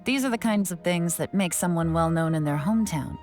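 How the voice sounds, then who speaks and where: Female game role: composed, analytical sleuth voiced by an actress, measured and knowing, slightly low voice, music underneath. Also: darkish tone, close to an everyday voice. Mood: shrewd, analytical. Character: shrewd, composed female investigator, secretive and teasing. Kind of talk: scripted video game dialogue.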